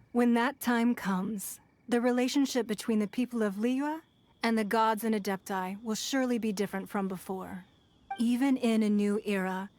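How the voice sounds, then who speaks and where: A woman's voice: medium pitch, darkish tone, close to an everyday voice. A woman as poised, shrewd noblewoman leader. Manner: formal, composed, measured. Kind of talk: anime video game voice acting, expository dialogue. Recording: music behind the voice. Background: game music.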